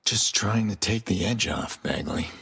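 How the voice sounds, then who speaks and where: A man's voice: lowish voice, unhurried, vocal fry, a bit bright, far from the mic. An actor playing a wry, world-weary male vigilante hacker, dry and terse, in a video game cutscene.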